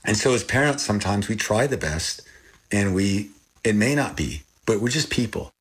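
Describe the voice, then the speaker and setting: A man's voice: on the low side, normal speed, husky, rough recording. An older man raised in Thailand with Thai as his first language, talking earnestly as an interview guest and lifting his voice when he recalls things. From born in Texas, raised in Thailand. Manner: earnest, animated when recalling, repeats and restarts. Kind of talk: reflective interview talk.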